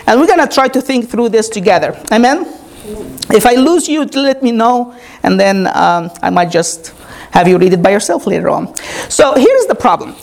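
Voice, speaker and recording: A woman's voice: fairly high pitch, sing-song, moderate pace, vocal fry, darkish tone. Arabic-native woman preaching a sermon. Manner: calls "Amen?" to the congregation, explains step by step. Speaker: pastor. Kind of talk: teaching sermon.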